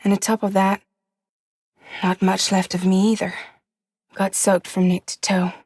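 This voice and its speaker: An actress playing a guarded, wry woman courier in a post-apocalyptic video game, with soft, breathy, measured and deadpan delivery. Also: medium pitch, dry recording, studio quality. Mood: quiet, guarded. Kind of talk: cinematic video game acting.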